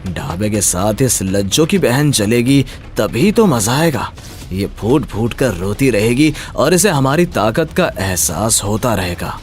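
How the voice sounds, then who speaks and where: A man voicing a cruel, taunting gangster boss in an animated moral story, with a threatening, mocking manner. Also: medium pitch, unhurried, soft background music, voice pushed down, natural voice. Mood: cruel, gloating.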